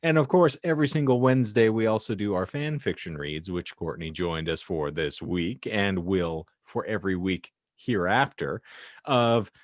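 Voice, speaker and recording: A man with a low voice and a close, dry sound hosts a comedic podcast. He talks casually but dresses things up in florid, theatrical phrasing. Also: normal speed, dry recording. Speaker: podcast co-host.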